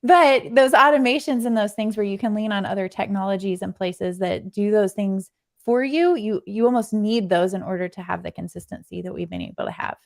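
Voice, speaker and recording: A woman with a mid-pitched voice, recorded dry and close. She explains a technical setup in a conversational way, as a podcaster appearing as a guest. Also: fairly quick, gravelly, no echo.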